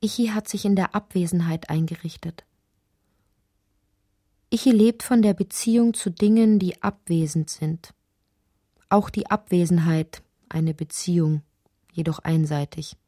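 An actress narrating a strange, inward literary radio drama in deliberate, short emphatic phrases. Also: female voice, lowish voice, warm tone, no room sound, clean studio sound. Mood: reflective. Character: woman narrator of a strange inward dreamlike tale.

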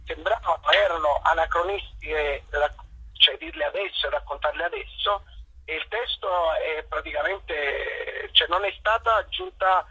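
A man from Naples speaks over a muffled phone line. His voice sits high, bright and husky. He talks thoughtfully, pausing and reaching for the right words. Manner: thoughtful, searching for words with fillers. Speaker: actor guest. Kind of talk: phone interview. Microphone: telephone.

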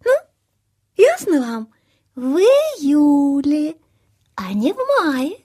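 High-voiced, gracious young butterfly. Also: female voice, huge pitch swings, extremely slow, heavily acted. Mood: amused, kindly. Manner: polite, coquettish, softly giggly. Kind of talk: children's audio play acting.

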